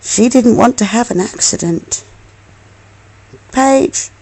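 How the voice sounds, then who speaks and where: A woman reading a storybook aloud as a mother to her young child, expressive and affectionate, with coaxing asides. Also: fairly high pitch, slow pace, creaky, husky, low-quality recording.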